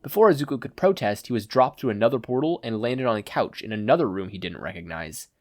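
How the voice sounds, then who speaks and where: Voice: mid-range voice, lively intonation, quick pace. A reader giving a scripted narration of a story in the manner of an audiobook. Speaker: podfic reader. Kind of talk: scripted narration of fan fiction, audiobook reading with character voices.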